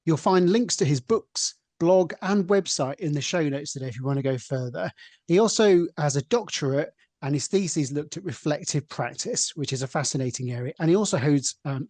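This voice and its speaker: A man hosting a podcast, reading a guest introduction in a measured, deliberate way. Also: fairly high pitch, a little mellow, no echo. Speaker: podcast host.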